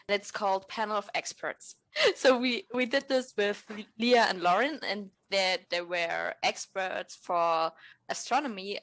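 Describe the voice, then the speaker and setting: A woman's voice: mid-range voice, fairly bright tone. A woman hosting a casual podcast, cheerful and upbeat as she introduces games. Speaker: podcast host.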